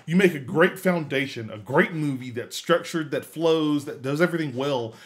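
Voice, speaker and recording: A man hosting a podcast in casual discussion, haltingly repeating phrases as he builds his point. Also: fairly high pitch. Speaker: podcast host.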